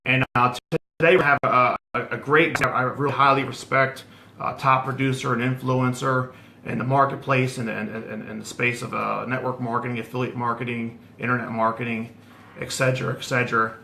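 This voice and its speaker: A man's voice: mid-range voice, little pitch movement, brisk, creaky, pushed and bright, echoey room, slight background noise. A man hosting a casual online interview, stumbling and repeating words with many fillers. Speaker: host.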